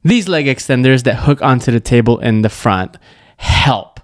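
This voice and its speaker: A man's voice: medium pitch, animated, normal speed, no room sound, studio quality. A man in his thirties from Malaysia, co-hosting a podcast in a casual, playful chat into a tabletop microphone. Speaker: podcast co-host. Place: small indoor room at a table. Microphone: tabletop podcast microphone on stand.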